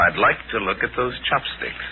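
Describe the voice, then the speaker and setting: An American actor playing a calm, commanding pulp hero sleuth, asking measured, polite but firm questions in 1940s radio style. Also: male voice, medium pitch, phone-quality sound, close to an everyday voice. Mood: probing. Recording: band-limited, hissy 1940s radio recording.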